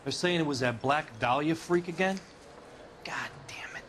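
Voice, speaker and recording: An American actor playing a talkative, concerned bartender in a video game, with an informal way of speaking. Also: male voice, a little high, normal speed, fairly bright tone, slight background noise, lightly acted. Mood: agitated, chatty. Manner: informal, chatty. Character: talkative, concerned male bartender at a neighborhood bar. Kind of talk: video game dramatic acting.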